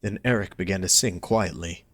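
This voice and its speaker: Low-pitched man narrating, dry close sound. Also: moderate pace, fairly bright tone, no room sound. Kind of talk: scripted story narration.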